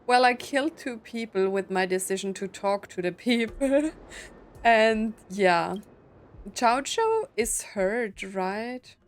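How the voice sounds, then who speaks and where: A woman's voice: a little high, expressive, slow pace. A woman doing casual gameplay commentary, thinking aloud in a hesitant, musing way. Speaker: streamer reacting to a game.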